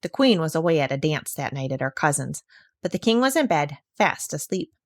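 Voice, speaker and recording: A woman narrating a children's story aloud in an expressive, scripted storytelling style. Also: low voice, dry recording, studio quality.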